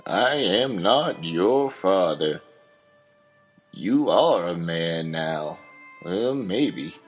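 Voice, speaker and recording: A man playing a gruff, doubting old father figure in an audio drama, deadpan and profane, with a husky voice. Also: deep voice, drawn-out words, over music, exaggerated voice. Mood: resigned.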